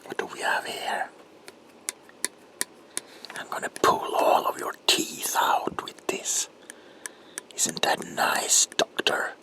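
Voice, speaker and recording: A man playing a sadistic, gloating monstrous Victorian villain in a horror ASMR roleplay, with a whispery rasp and a slow, menacing, theatrical delivery. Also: close to an everyday voice, rough recording. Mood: sadistic menace. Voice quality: whispery, creaky, breathy rasp.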